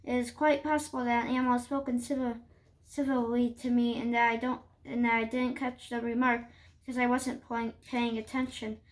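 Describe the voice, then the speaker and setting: A woman reading a children's story aloud, haltingly, with stumbles and restarts. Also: a little high, level tone.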